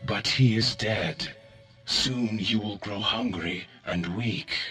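A man voicing a slow, cold alien conqueror. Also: fairly low pitch, sharp tone, soft background music. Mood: cold, solemn. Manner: slow, grave and solemn. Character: cold, weary alien conqueror from deep space. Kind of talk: storybook reading.

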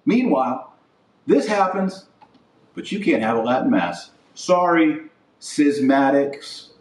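A man's voice: on the high side, sing-song, slow pace. A man hosting a religious podcast, delivering an impassioned opinion monologue in a blunt, emphatic, repetitive way. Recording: roomy echo, distant from mic.

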